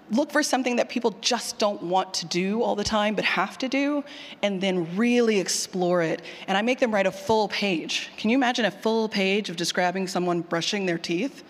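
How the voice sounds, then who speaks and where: Informal, emphatic presenter in a reverberant hall. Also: mid-range voice. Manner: animated, informal, emphatic, repeats questions aloud. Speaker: speaker presenting a writing workshop, former college teacher. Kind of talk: conference talk with audience questions. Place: indoor conference session room or hall. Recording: hall reverb on a live talk.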